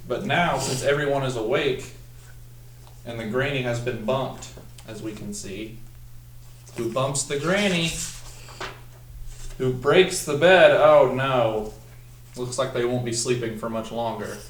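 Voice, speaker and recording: A young man doing an instructional picture-book read-aloud in a deliberate manner, drawing out the text and putting questions to young listeners. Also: medium pitch, slight background noise. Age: young adult. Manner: deliberate, instructional, drawn-out when reading the text. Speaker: student teacher practicing a read-aloud. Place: small room at a table, indoors. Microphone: camera mic a few feet away. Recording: roomy echo, distant microphone.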